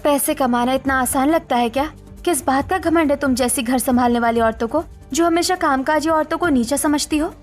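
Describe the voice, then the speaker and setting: High-voiced self-assured working woman acted out. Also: a little fast, faint music behind, close to an everyday voice. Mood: offended. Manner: firm, earnest. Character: self-assured working daughter-in-law in cartoon.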